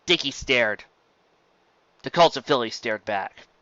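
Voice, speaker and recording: A woman's voice: rumbling low, expressive, a little slow, fairly bright tone, dry recording. A woman reading a story aloud as scripted narration, on a dull, dry home recording. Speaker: story reader. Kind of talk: scripted narration of fan fiction.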